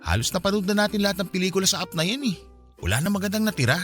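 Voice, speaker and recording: A man's voice: high-pitched, sing-song, rapid-fire, fairly bright tone, soft background music. A man acting a frustrated young boyfriend in a Filipino radio drama, venting in a conversational, complaining way with a Filipino accent. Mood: weary.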